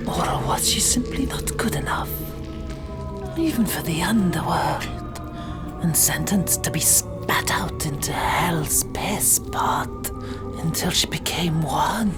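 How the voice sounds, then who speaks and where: A woman narrating an audiobook chapter in a soft, deliberate ASMR whisper. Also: fairly low pitch. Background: loud music.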